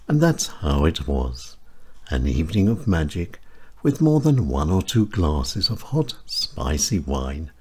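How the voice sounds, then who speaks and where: A man with a deep, slightly husky voice tells his own short stories for radio. He takes his time over each phrase, and his pitch rises and falls widely as he reads. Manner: measured, expressive delivery with wide swings in pitch. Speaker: storyteller and radio show presenter. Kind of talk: scripted short-story reading.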